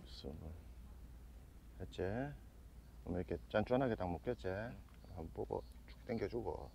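A man's voice: sits low, moderate pace, creaky, warm tone. A man chatting casually and explaining fishing gear in an outdoor vlog. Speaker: host of a fishing channel. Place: outdoors on a seaside walkway. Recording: dull outdoor sound with faint background noise.

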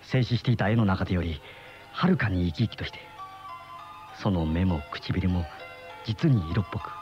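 An actor playing an uneasy middle-aged husband in a radio mystery, with brooding, dramatic storytelling. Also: male voice, deep voice, animated, moderate pace, a little mellow. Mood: brooding, dreamy. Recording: muffled old radio drama recording, music behind.

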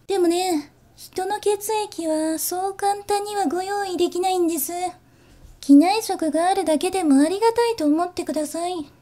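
A zombie bunny flight attendant, acted by a woman for an ASMR audio drama. The voice is high and mellow. The service talk is soft, formal and politely teasing. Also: normal speed. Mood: polite, matter-of-fact. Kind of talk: Japanese ASMR situation voice drama.

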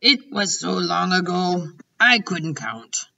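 A woman voicing a very old, gentle, proud and muddled childlike giant in a storybook, drawing out words emphatically with mangled grammar and invented words. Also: lowish voice, lively intonation, slow, creaky, distant mic, muffled recording, heavily acted. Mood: matter-of-fact.

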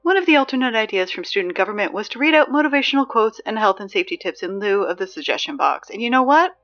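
Woman acting as sarcastic school announcer, dry, exasperated, emphatic, medium pitch, dull lo-fi sound, music underneath, fiction podcast. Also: lively intonation, fast talker, a bit bright.

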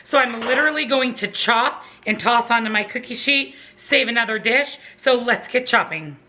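A woman's voice: a little high, normal speed, bright, forceful tone. A woman in her thirties giving a chatty, upbeat step-by-step cooking voice-over from her home kitchen. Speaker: cooking vlogger.

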